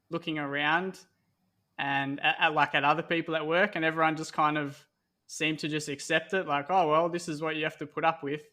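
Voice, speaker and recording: An Australian man in his thirties tells his story as a podcast guest. His voice is slightly high, very even in pitch and bright in tone. He talks in a loose, unhurried way, with fillers and false starts. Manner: relaxed, rambling, many fillers and restarts. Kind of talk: casual interview answers.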